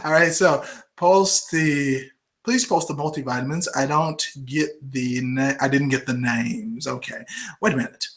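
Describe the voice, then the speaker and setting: A man's voice: mid-range voice, measured pace, a bit bright. A man in his forties hosting a live webinar, answering viewer questions in a casual, chatty way and constantly checking in with "okay?" and "all right?". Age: 40s. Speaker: host of a live health webinar. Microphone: computer microphone.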